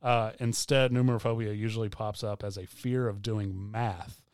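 A soft-spoken man co-hosting a casual podcast chat, laid-back with dry humor. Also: lowish voice, fairly even intonation, normal speed, smooth, no echo. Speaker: podcast co-host. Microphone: studio mic.

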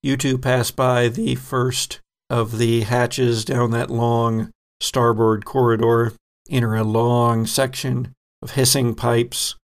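A man running a tabletop game as game master, setting scenes in an unhurried, descriptive way. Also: medium pitch, gravelly.

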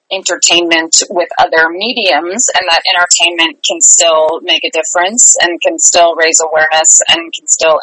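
A woman's voice: lowish voice, sharp tone, no room sound, rough recording. A woman chatting casually and upbeat as a guest in an interview about her work. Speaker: theater company artistic director, guest.

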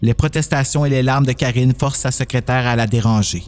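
A man's voice: mid-range voice, brisk, a little mellow. A man reading scripted narration for a horror story dramatization.

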